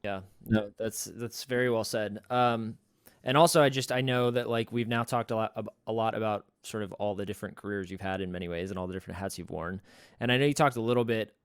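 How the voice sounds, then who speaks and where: A man's voice: fairly low pitch, fast, clear, resonant tone, no room sound, clean studio sound. A man hosting an interview, asking long, meandering questions with restarts. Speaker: host, interviewer. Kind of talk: podcast interview.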